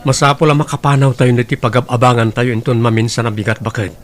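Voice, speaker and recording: A man playing a husband calmly discussing where to move. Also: mid-range voice, quick pace, over music, lightly acted.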